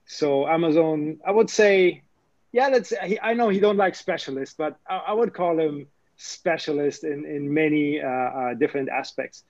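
A man hosting a podcast interview, talking in halting phrases with many fillers and restarts. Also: on the high side, darkish tone, no echo, highs cut off. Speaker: podcast host. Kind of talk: casual interview.

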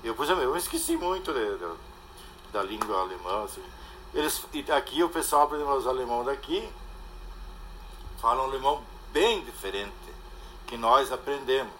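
An older man talks in a casual, halting way, pausing and tagging his sentences with a questioning word. His voice sits at an ordinary pitch for a man. A faint ambient hum runs underneath. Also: lively intonation, sharp tone, rough recording. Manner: hesitant, trails off with "né?". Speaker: interview guest. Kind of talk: casual conversation.

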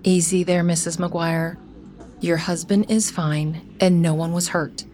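An actress playing a composed, discreet professional woman in measured, naturalistic audio drama dialogue. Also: lowish voice, fairly even intonation, darkish tone, soft background music, natural voice. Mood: calm, guarded.

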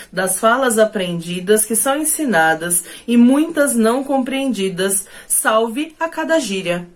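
A Brazilian woman with a Brazilian Portuguese accent performing spoken word poetry in a declamatory way, with sudden drops and surges in pitch and loudness.

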